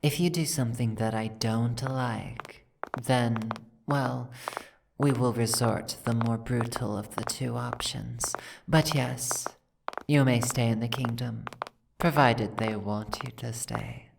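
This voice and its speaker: A woman voicing a stern, imperious female dragon queen in an audio roleplay, speaking in a formal, regal, measured way. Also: extremely low pitch, fairly even intonation, gravelly, background noise, heavily acted. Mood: stern, menacing. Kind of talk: ASMR audio roleplay acting.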